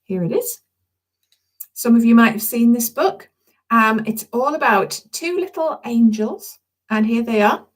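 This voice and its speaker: Chatty, higher-voiced woman addressing young children. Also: expressive, a little slow. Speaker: children's author and storyteller. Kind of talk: chatty, informal live video address to schoolchildren. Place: room at home.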